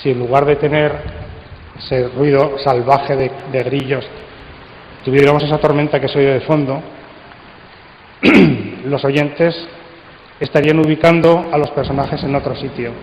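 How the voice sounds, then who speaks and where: A man giving a conference talk and leading a discussion, speaking deliberately with pauses. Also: medium pitch, steady tone. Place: conference hall. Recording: echoey hall, faint noise.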